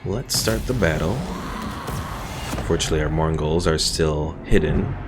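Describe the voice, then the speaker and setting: A man streaming a strategy game in casual commentary, relaxed and rambling, reading viewer chat aloud between moves. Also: rumbling low, moderate pace. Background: game music and battle sounds.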